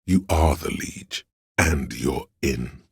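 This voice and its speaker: A male actor voicing a sly inner voice of drama in a video game, a scheming courtly servant with theatrical, archaic flourishes and a gravelly, husky delivery. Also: extremely low pitch, takes it slowly, no room sound, studio quality, exaggerated voice. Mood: smug, courtly. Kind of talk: video game voice lines, addressing a liege.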